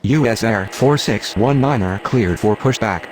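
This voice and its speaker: Male air traffic operator for a game, actor: lowish voice, businesslike, scripted radio phraseology. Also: unhurried, close to an everyday voice. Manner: formal, procedural.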